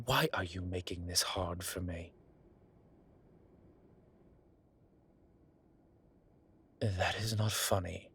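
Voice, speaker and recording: A man voicing a flirtatious, smitten demon professor in an intimate audio roleplay, husky and breathy, with halting, wry phrasing. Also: sits low, fairly bright tone, full character voice. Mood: flustered, teasing. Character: teasing, smitten male incubus demon professor. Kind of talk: intimate one-sided audio roleplay acting.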